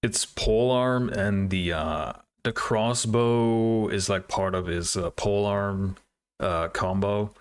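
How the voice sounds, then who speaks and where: A man's voice: on the low side, takes it slowly, clean tone. A man hosting a casual reaction video, thinking aloud in hesitant fragments. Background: game trailer music.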